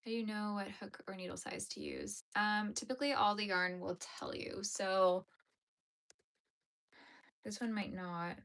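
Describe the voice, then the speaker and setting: A woman in her early thirties talks casually as she knits. Her speech rambles and is full of fillers, at an everyday middle pitch. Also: sing-song, a little slow, long pauses, a bit bright. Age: 30s. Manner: rambling, chatty, lots of fillers. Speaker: knitting channel host. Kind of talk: casual chat while knitting.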